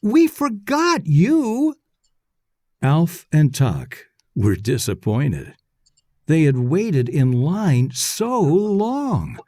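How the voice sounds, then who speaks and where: A man reading a children's story aloud in short, deliberate, clearly separated phrases. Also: medium pitch, very animated, warm tone, no echo, clean studio sound. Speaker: read-aloud narrator.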